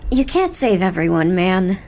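A woman's voice: fairly low pitch, very animated, normal speed, darkish tone. A woman acting in an audio drama as a hero's supportive, teasing young best friend, casual, reassuring and playful.

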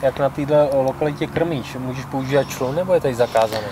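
A male interviewer speaks from off camera beside a lake, asking brief, casual questions. His voice sits in an ordinary middle pitch. Clear outdoor background noise runs under everything he says.

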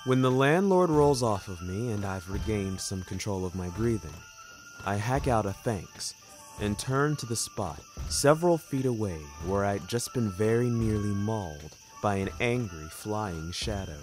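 A man's voice: lowish voice, lively intonation, measured pace, a little mellow, faint music behind. A man narrating a scripted first-person audio story in a theatrical, literary manner.

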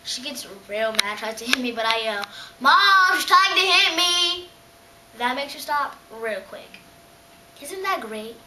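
A woman's voice: on the high side, moderate pace, bright, forceful tone. A ten-year-old girl playing a gleefully bratty, teasing little sister in a comic stage monologue. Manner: gloating, exaggerated, playful. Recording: echoey hissy room, distant mic.

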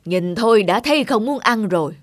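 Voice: medium pitch, expressive, normal speed, no echo, natural voice. An actor playing a grumpy, fault-finding old man in a cartoon, with a blunt, complaining delivery. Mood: irritated. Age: older. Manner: blunt, dismissive. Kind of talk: animated cartoon dubbing. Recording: loud music under the voice.

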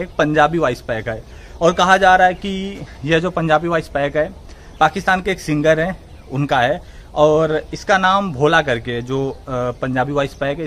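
Young man, slightly high voice. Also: fairly bright tone. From India. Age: 20s. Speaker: gaming channel host. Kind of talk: casual vlog talk to camera. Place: outdoor open yard with trees. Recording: faint outdoor background noise.

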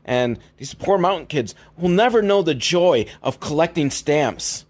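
A man's voice: a little high, lively intonation, a little slow. A man hosting a comedy podcast, recapping films in a casual, rambling, sweary, mock-exasperated way. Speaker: podcast host.

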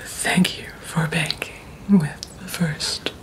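Low, whispering woman in ASMR roleplay. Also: little pitch movement. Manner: slow, deliberate, hushed. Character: mysterious, polite female vampire bank teller.

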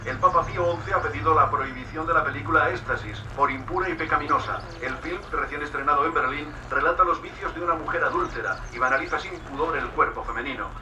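An actor playing a formal 1930s radio news announcer through an old, noisy radio filter. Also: male voice, fairly high pitch, fast, few pauses, far from the mic.

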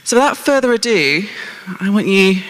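A woman's voice: mid-range voice, big pitch swings, normal speed. A middle-aged woman hosting from a large auditorium stage, introducing things to an audience on a handheld microphone. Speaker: congress host. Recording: echoey hall PA sound.

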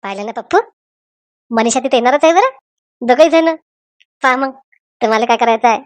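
Woman as scheming happy village lady, cartoon, high voice, dry recording. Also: a little fast, no room sound, lightly acted. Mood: pleased. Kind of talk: homemade comedy cartoon dialogue.

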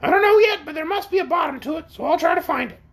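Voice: very high voice, animated, quick pace, creaky, a bit bright, pitched above the performer's own voice, heavily acted. A woman voicing a vain, chatty flying creature from a storybook, nervous yet brave, in an exclamatory, self-important way. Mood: agitated.

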